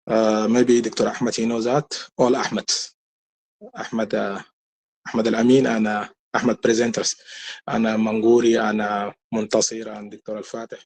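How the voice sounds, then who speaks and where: A man with a Sudanese accent, a health worker speaking on a webinar, giving a halting, repetitive report that leads into questions. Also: on the low side, flat delivery, darkish tone. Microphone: webinar call.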